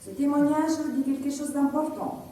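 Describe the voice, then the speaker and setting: A woman, a care worker and mother, speaking earnestly and in a measured way at a public meeting. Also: high voice, flat delivery, mellow. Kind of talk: public meeting speech, partly read. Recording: echoey hall, mic far off, faint noise.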